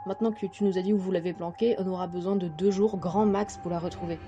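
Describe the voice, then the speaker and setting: An actress playing a tough, impatient young mafia sister in a French audio drama, curt and dry. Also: female voice, lowish voice, fairly even intonation, fast talker, lo-fi sound, lightly acted. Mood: curt, irritated. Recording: faint music behind.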